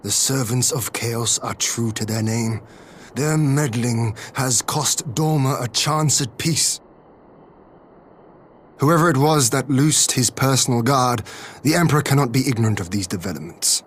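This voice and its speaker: Actor as a composed, formal young noble lord. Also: male voice, medium pitch, slight background noise, natural voice. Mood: grave, courteous. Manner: formal, measured, stately. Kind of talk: video game cutscene voice acting.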